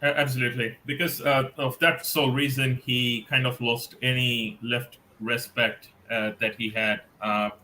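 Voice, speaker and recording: A man's voice: mid-range voice, flat delivery, normal speed, hardly any pauses, bright, forceful tone, slight background noise, rough recording. A man co-hosting a casual football podcast, speaking hesitantly with many fillers. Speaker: podcast co-host.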